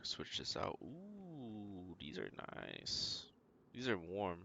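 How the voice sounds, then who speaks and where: A man giving casual, low-key video game commentary, thinking aloud as he plays. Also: huge pitch swings, very slow, gravelly, bright, forceful tone, a little hiss or hum. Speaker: game streamer.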